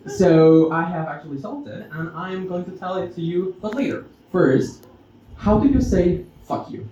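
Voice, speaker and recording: A Mexican-accented man, native in Spanish, does amateur stand-up in a very echoey, noisy room. His fairly high voice swings up and down as he stops, restarts and plays with the audience. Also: sing-song, slow pace. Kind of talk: stand-up comedy with audience interaction. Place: live stage in a roomy venue. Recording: echoey noisy room, distant muffled pickup.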